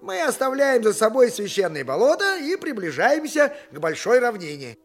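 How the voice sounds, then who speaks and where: Scornful, simple patriotic donkey driver; declamatory stage delivery, hugely varied pitch, audio drama. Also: male voice, huge pitch swings, moderate pace, faint music behind, exaggerated voice. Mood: grumbling, aggrieved. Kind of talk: theatrical audio drama monologue.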